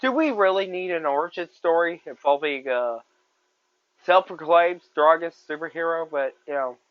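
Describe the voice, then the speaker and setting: A man's voice: on the high side, lively intonation, moderate pace, no echo, lo-fi sound. A man in his twenties hosting a solo podcast, rambling and repeating himself with many fillers. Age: 20s. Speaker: podcast host. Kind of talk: solo movie review podcast.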